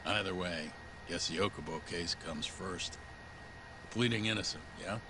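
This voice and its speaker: An actor playing a gruff male colleague in a crime drama game, talking in a blunt, teasing way. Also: low voice, a little slow. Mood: blunt, questioning. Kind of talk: cinematic video game dialogue. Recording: clear background ambient noise.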